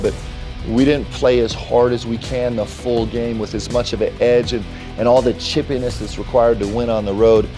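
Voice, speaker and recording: A middle-aged man, a basketball coach, reflecting on his team's losses in a halting, thoughtful way with many restarts. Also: mid-range voice. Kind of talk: post-game interview.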